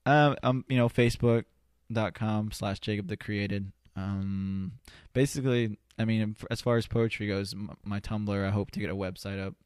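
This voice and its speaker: A man's voice: lowish voice, fairly even intonation, normal speed, few pauses, a little mellow, clear, resonant tone, no room sound, clean studio sound. A man answering as a podcast guest in a casual, rambling, hesitant way with lots of "you know". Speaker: podcast guest, spoken word poet. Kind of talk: casual conversational interview answers. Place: indoor studio.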